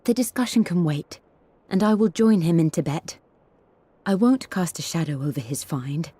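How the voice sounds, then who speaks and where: A woman's voice: on the low side, moderate pace, warm tone, slightly rough, no room sound. An actress playing a devoted English painter and wife, reading her private journal in a reflective, tender way for a game. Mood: wistful, tender. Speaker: voice actor. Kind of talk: dramatic reading of a personal letter or journal.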